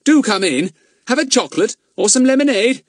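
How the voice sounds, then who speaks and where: Man doing a cheeky polite schoolboy, high, lively voice, mock innocent, dry close sound. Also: brisk, dry recording.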